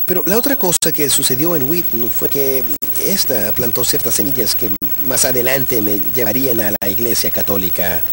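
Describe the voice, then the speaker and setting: A man's voice: mid-range voice, expressive, normal speed, hardly any pauses, a crowd in the background. A man from Texas, a guest in an interview, telling his own faith story in an earnest, reflective way with frequent hesitations. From Texas, USA. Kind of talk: personal faith testimony in conversation.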